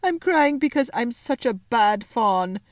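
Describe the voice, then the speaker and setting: A woman's voice: a little high, darkish tone, dry recording, close to an everyday voice. Woman acting a mournful, guilt-ridden male fawn, close and dry. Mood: sobbing, wretched. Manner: mournful, drawn-out, lamenting delivery. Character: sorrowful, guilt-ridden male fawn, tearful and self-reproaching.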